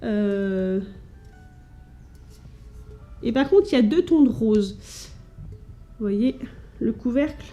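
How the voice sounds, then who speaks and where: A woman's voice: on the high side, expressive, slow, pauses often, dark tone, faint music behind. A woman from France chatting casually to camera at home, showing her thrift finds with many fillers and restarts. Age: adult with children.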